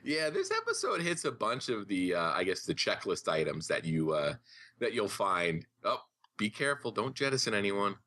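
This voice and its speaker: Bright, lively-pitched man, casual co-host. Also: moderate pace. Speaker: podcast co-host. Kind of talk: casual episode commentary.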